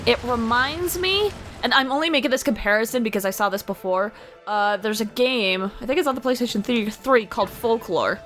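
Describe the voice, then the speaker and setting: A woman's voice: fairly high pitch, lively intonation, fairly quick, fairly bright tone. A playful woman chatting casually as a co-host of a video game let's play. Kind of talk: casual gaming commentary. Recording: game music behind. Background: video game music.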